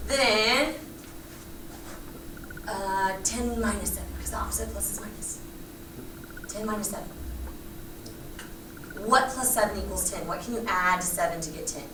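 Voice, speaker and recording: A woman from Texas teaching a geometry class, lively and playful as she coaxes her students along. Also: medium pitch. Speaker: high school geometry teacher. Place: classroom, recorded from the back. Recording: echoey classroom, faint room noise.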